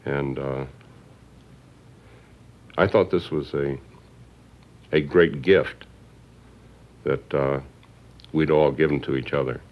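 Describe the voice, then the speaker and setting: Very deep-voiced older man, halting. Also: steady tone. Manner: halting, deliberate. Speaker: former magazine executive interviewed. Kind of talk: reflective documentary interview. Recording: slightly dull recording.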